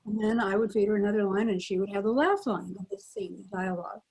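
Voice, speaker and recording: A woman's voice: mid-range voice, fast talker, slightly rough, low-quality recording. An older woman, a former child radio actor, telling stories as a panel guest on a video call. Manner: animated storyteller, acts out quoted lines. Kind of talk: anecdotal storytelling.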